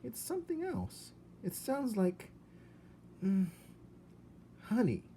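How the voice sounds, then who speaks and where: A tiny, dreamy young storybook mouse, voiced by a man. He is timid and hesitant, pitched well up and gentle, with some noise behind him. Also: very animated, slow, full character voice. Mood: dreamy, wondering.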